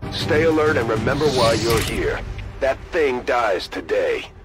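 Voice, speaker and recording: A man's voice: medium pitch, expressive, a little slow, close to an everyday voice. Guy doing a firm commanding squad leader, game music behind. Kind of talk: video game cutscene acting.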